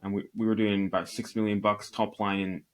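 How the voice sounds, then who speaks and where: Scottish man, low voice, candid. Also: little pitch movement, brisk. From Northeast Scotland. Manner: candid, self-deprecating, storytelling. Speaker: podcast guest, e-commerce entrepreneur. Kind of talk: conversational interview answers.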